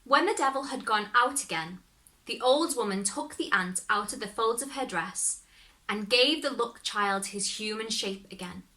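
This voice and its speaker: A woman reading a fairy tale aloud, expressive and animated. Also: a little high, normal speed. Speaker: storyteller.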